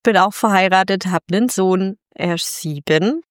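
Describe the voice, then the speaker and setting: A woman in her late thirties chatting as podcast co-host, her voice smooth and clear at a middle pitch. She speaks in a friendly, slightly hesitant way, recorded close and dry. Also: moderate pace, no room sound. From Germany. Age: 30s. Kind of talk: casual chat.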